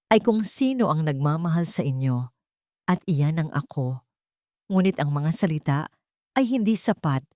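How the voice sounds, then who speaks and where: A woman narrating a scripted romance drama in a measured, melodramatic storytelling manner. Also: fairly low pitch, a little mellow, no room sound, clean studio sound.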